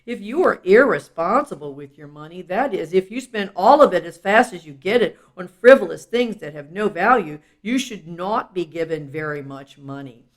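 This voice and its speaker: A woman's voice: on the low side, lively intonation, moderate pace, few pauses. A woman reading a children's self-help book aloud in an instructive, explanatory way, with casual asides.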